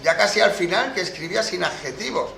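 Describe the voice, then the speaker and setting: A man's voice: high voice, lively intonation, fast, gravelly, pushed and bright. A man from hosting a casual chat. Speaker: host. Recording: echoey room, faint noise.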